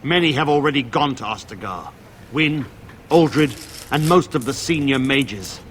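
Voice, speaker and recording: An actor playing a stern, easily offended templar commander in a video game, with stiff, formal speech. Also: male voice, medium pitch, lively intonation, a little slow, fairly bright tone, a little hiss or hum.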